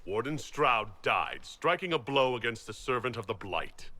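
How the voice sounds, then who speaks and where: An actor playing a resolute leader in a fantasy video game, speaking firmly and formally in measured phrases. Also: male voice, mid-range voice, sing-song, bright, forceful tone. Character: commanding, resolute young leader of a holy war effort.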